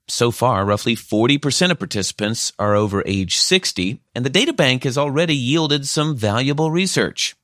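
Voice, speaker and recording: A man delivering a scripted radio news report in a measured, even way. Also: medium pitch, no room sound, studio quality.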